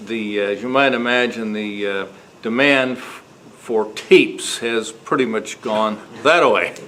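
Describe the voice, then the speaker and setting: A man chairing a club meeting, making informal announcements in a halting, jocular way. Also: fairly low pitch, bright, forceful tone. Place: indoor club meeting room. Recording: noisy live meeting room recording.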